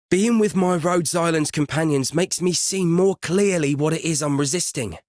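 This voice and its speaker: Higher-voiced earnest young fighter. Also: male voice, no echo, clean studio sound. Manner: sincere, resolute. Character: earnest young male freedom fighter, grateful and principled. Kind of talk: video game character voice lines.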